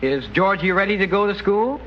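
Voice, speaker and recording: A man's voice: sits high, lively intonation, brisk. An actor playing a brash, girl-crazy, jive-talking college senior in a 1940s radio comedy. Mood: cocky, chummy. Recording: very noisy, muffled 1940s radio recording.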